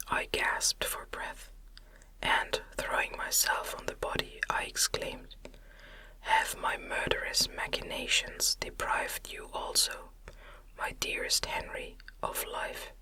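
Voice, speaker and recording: A woman reading a classic novel aloud in a gentle, soothing, breathy ASMR whisper with careful, unhurried phrasing.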